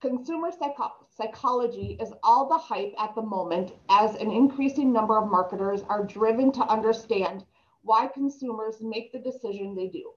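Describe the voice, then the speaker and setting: A woman's voice: a little high, dull audio. Young woman with a slight lisp. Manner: reads aloud with stumbles and restarts. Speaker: presenter reading an article aloud. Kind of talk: reading slides aloud in a video call. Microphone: laptop mic on a video call.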